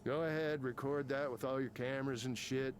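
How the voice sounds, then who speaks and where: A man's voice: medium pitch, steady tone, a little fast, close to an everyday voice. An actor playing an angry, self-important tech programmer in his fifties for a video game, ranting with sarcasm and swearing. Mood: defiant, resentful. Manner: combative, sarcastic, profane ranting. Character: angry, embittered, self-important fifty-something male tech company programmer. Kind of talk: naturalistic video game voice acting.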